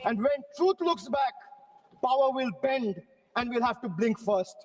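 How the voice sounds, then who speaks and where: A man's voice: extremely high pitch, lively intonation, unhurried, in a large hall. A man from Bengal giving a rhetorical speech in parliament, declaiming in parallel phrases. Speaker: member of parliament. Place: large parliament chamber.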